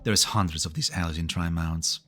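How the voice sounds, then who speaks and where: A man's voice: low voice, moderate pace, a bit bright, faint music behind. A man playing an earnest, analytical tech specialist in a game fan dub, protective and precise as he explains. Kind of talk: scripted anime game dub acting.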